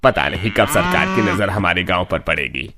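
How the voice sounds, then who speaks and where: A man's voice: sits low, wildly swooping pitch, moderate pace, background noise. A man playing a poor village farmer in a cartoon story, with plain rustic, drawling talk. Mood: complaining.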